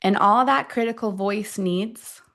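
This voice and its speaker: A woman leading a guided affirmation meditation, speaking deliberately in short phrases with long pauses between them. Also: mid-range voice, a bit bright. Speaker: guide of a self-affirmation tapping session.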